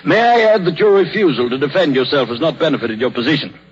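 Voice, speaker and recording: Pompous chairman, stern, formal, fairly high voice, long reverb, vintage radio play. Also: lively intonation, fast talker, husky. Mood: cold, official. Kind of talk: 1930s radio drama acting. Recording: reverberant, muffled 1930s radio broadcast, music.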